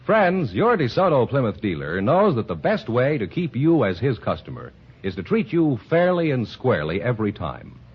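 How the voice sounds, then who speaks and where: Lively American vintage radio announcer, slightly husky. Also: male voice, mid-range voice, moderate pace. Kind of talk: 1940s radio announcing and ad reading. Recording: dull old 1940s radio recording.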